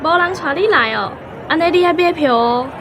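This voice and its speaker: A young Taiwanese cinema gate girl in a radio drama. Her voice is high, friendly and brisk as she deals with customers. She sits amid clearly noisy crowd ambience. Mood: busy, calling out.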